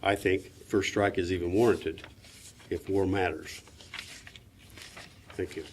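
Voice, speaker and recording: Low-voiced man, firm and formal. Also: steady tone, takes it slowly, background noise. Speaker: panelist. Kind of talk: reading a prepared statement at a public forum. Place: public forum hall.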